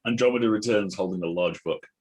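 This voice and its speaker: A man's voice: on the low side, quick pace, darkish tone, husky. A man reading stage directions as scripted narration at a table read.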